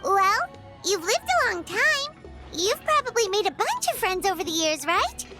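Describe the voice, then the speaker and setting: Tiny fairy companion, very high squeaky voice, bouncy lively intonation, eager game character, music behind. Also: female voice, moderate pace. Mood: chatty. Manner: chirpy, eager. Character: small, perky childlike floating fairy companion. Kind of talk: scripted game dialogue.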